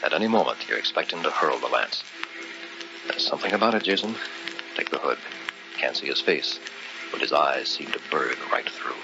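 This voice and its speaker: An actor playing a wealthy, determined collector with a commanding, polished manner in an old-time radio drama. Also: male voice, extremely low pitch, animated, moderate pace, pauses often, over music. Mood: intrigued. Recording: muffled, noisy old radio recording.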